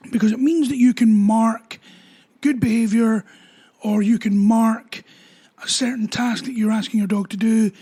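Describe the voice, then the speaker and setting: A man, a dog trainer explaining training ideas in a relaxed talk with everyday examples. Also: high-pitched. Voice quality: husky voice.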